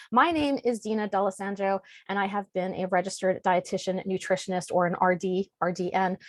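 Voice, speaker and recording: A woman dietitian hosts a nutrition webinar, walking through her points in an organized, rehearsed way. Her voice sits at a medium pitch. The sound is dry and close, as on a video call. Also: dry recording. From United States. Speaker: registered dietitian and webinar host. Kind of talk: presenting a webinar.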